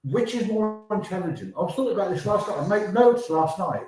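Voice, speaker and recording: A man's voice: high voice, animated, brisk, darkish tone. A man, a guest author, hungover and rambling as he muses aloud over breakfast. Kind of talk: casual chat. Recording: echoey room, off-mic, faint noise.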